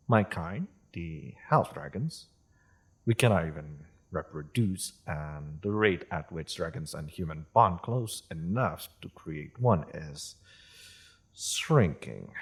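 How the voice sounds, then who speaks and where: Fantasy roleplay half-dragon, acted by a man: low, husky, courteous and grave, speaking in formal phrases. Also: full character voice. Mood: grave, solemn. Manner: measured, formal, deliberate storyteller-like phrasing. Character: polite, solemn male half-dragon seeking peace with humans. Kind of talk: fantasy audio roleplay addressed to the listener.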